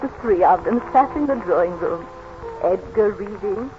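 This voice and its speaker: An actress playing a watchful old family servant, telling the past in measured 1930s radio drama style. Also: female voice, medium pitch, animated, over music, close to an everyday voice. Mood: measured, reflective. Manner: measured storytelling. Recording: muffled vintage radio recording.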